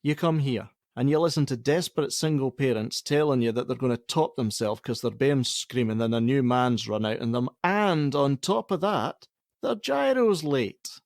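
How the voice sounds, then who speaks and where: A man's voice: mid-range voice, moderate pace, no room sound, studio quality, natural voice. Blunt, prickly middle-aged Scottish father, played by an actor. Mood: teasing, scornful. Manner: blunt, argumentative, sarcastic. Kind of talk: radio play acting.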